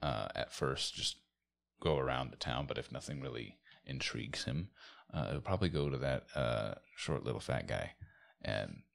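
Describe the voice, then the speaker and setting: A man playing a tabletop role-playing game, talking casually through his character's plans in an unhurried, halting way. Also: very deep voice, lively intonation, creaky. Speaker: player in a tabletop game podcast.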